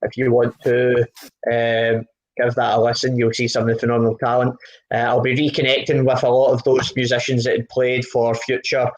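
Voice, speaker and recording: A man's voice: mid-range voice, completely flat delivery, fairly quick, rough recording. A man hosting an online live music session, chatting casually and rambling with many fillers and restarts.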